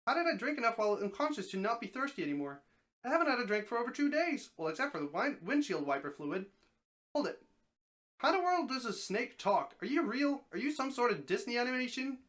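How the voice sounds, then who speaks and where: Someone reading as a bewildered stranded man, animated pitch. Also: quick pace. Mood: incredulous. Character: bewildered stranded man, exhausted, suspicious, questioning. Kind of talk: storybook dramatic reading.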